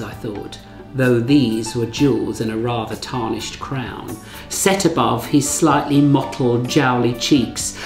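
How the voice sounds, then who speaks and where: An English man reading expressively from his own novel in a first-person storytelling style. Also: medium pitch. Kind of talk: scripted first-person narration, audiobook reading. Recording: roomy echo, faint music behind.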